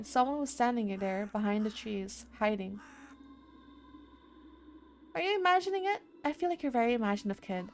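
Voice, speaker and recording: A woman's voice: on the high side, slight background noise. A woman game streamer reading a horror visual novel aloud, dramatically, with spontaneous asides. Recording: music behind the voice. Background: game music.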